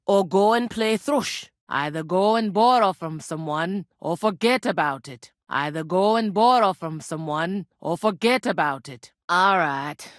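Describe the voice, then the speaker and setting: A woman voicing a gruff, teasing medieval tavern innkeeper in a video game, with a blunt, folksy, scolding way of talking. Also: lowish voice, no room sound, clean studio sound, natural voice. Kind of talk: scripted game character dialogue.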